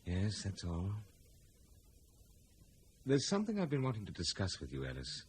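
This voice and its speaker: Young aristocratic husband, deep, tender and stoic, measured vintage radio drama acting. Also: male voice, huge pitch swings. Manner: gentle, measured, well-spoken. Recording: noisy old radio serial recording.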